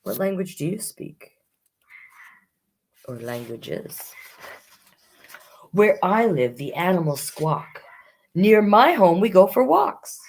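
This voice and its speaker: Lower-voiced woman reading picture books aloud. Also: takes it slowly, long pauses. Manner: expressive sing-song reading, chatty asides to young listeners. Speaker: children's storytime host.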